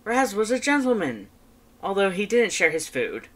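A woman's voice: medium pitch, big pitch swings, moderate pace, voice pushed up. A woman voicing a proud, competitive male skeleton in a fan fiction audiobook, speaking emphatically and formally with theatrical flair. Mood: proud, boastful.

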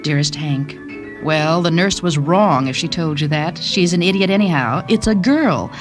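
A woman's voice: low voice, normal speed, over music, close to an everyday voice. An actress playing a doting, chatty young mother in a radio drama, confiding and gushing expressively. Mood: proud, gushing.

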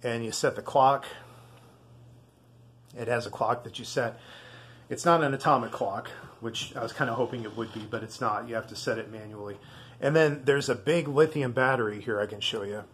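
A man giving a casual product demonstration in a halting, rambling, easygoing way. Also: mid-range voice, a bit bright, husky. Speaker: video host.

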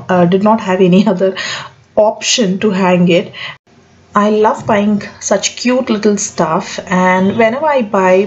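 A woman in her thirties with an Indian English accent, talking through her home makeover in a chatty, frank way with soft laughs. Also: medium pitch, dull audio. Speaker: home vlogger. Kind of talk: casual home makeover voice-over.

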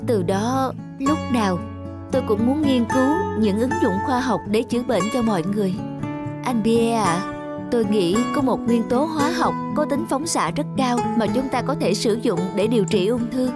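A woman voicing an earnest, determined young female scientist in an animated dub, speaking politely and sincerely. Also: a little high, normal speed, loud music behind the voice, close to an everyday voice. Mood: resolute. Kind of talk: animated cartoon dub dialogue.

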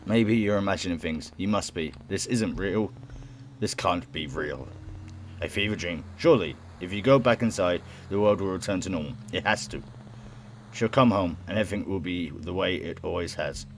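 A man's voice: low-pitched, normal speed, a little hiss or hum. A young man reading game text aloud and reacting casually, as in a let's play. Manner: stumbles over words while reading. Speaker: gaming video host. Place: small room at home, indoors. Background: game music.

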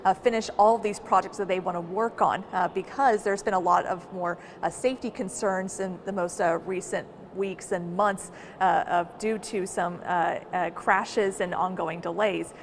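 A young woman news correspondent giving a live report in a slightly halting way. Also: on the low side, steady tone. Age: young adult. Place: large stone rotunda. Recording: noisy live location sound.

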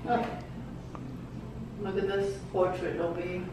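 A woman's voice: lowish voice, little pitch movement, slow, noisy background. A woman making brief, offhand remarks to her companion while touring a restaurant. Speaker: companion on camera. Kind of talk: casual chat. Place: restaurant indoors. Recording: distant and roomy, with loud music.

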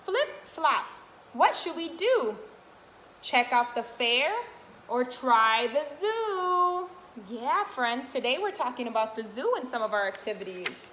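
High, bright young woman teaching toddlers. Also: very animated, slow. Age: young adult. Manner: sing-song, addressing small children with simple questions. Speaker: toddler classroom helper. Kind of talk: children's read-aloud and teaching to camera. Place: indoor classroom, sitting on the floor. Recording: roomy echo, faint room noise.

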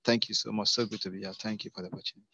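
A man with a Nigerian accent commenting in a halting way as a participant in an online discussion. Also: on the low side, steady tone, background noise. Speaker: audience participant. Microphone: video call. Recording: dull lo-fi video call audio.

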